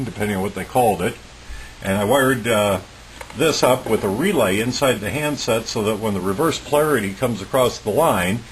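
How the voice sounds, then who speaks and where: Low-pitched man over clear hiss. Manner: plain-spoken, matter-of-fact, methodical. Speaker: electronics hobbyist presenting a homemade project. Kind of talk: informal demonstration with technical explanation. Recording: clearly noisy recording with hiss.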